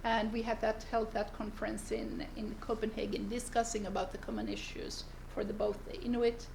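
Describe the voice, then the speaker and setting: A woman from northern Finland giving a seminar presentation, unhurried and pausing often to search for her words. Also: mid-range voice, gravelly, a little mellow, slight background noise, low-quality recording. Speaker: seminar speaker, lawyer. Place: seminar room.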